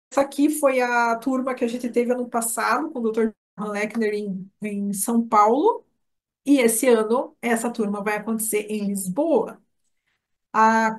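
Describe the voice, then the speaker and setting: A woman with a Brazilian Portuguese accent and a slightly high-pitched voice. She speaks as a course instructor in an informal live webinar. Her way of talking is chatty and direct, addressed straight to the people attending. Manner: chatty, addresses listeners by name.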